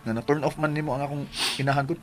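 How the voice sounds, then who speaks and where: A man's voice: fairly high pitch, steady tone, very fast, lightly acted. An actor playing a fond man coaxing and soothing his clingy partner in a Filipino radio drama, with a Filipino accent. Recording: loud music over the voice.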